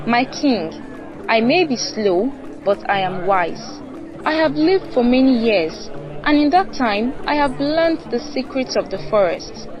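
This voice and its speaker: A woman voicing an old, wise, confident male tortoise with formal, measured speech in a folktale. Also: on the high side, animated, dull audio, music in the background, pitched above the performer's own voice. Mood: proud resolve.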